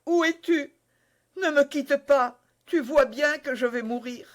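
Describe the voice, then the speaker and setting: A reader doing a pleading young woman, raised high. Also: a little slow. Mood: dying plea. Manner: breathless, pleading, rising to cries. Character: young woman, loving, frightened, dying of poison. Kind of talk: audiobook character voice.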